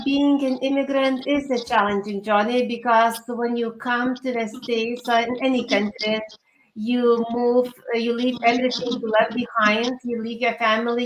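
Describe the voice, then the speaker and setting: A woman from Lithuania with a Lithuanian accent, answering interview questions in an earnest, halting way as a guest. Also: a little high. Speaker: interview guest, career coach. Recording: echoey room with background noise.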